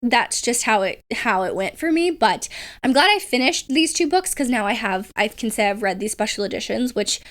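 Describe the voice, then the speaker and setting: A woman's voice: a little high, expressive, normal speed. A young woman with a cold, chatting casually about books she has read, rambling with lots of fillers and restarts. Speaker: book vlogger. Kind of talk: casual book review chat.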